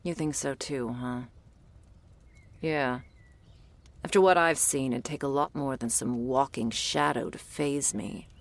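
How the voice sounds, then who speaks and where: A woman playing a jaded, prickly monster hunter in a roleplay audio drama, blunt and sardonic, with a low gravelly voice. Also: exaggerated voice. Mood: grudging, weary.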